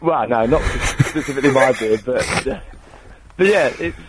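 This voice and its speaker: A man calls in to a radio phone-in. His voice sits at a middling pitch. He puts his question casually and conversationally. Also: animated, normal speed, noisy background. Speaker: phone-in caller.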